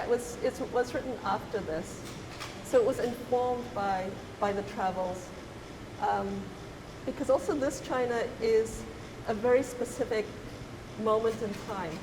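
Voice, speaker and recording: A woman's voice: on the high side, background noise. Woman speaking thoughtfully in a roomy hall. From Zimbabwe. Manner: thoughtful, pausing, many fillers and restarts. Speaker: artist. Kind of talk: reflective conversational talk. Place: gallery.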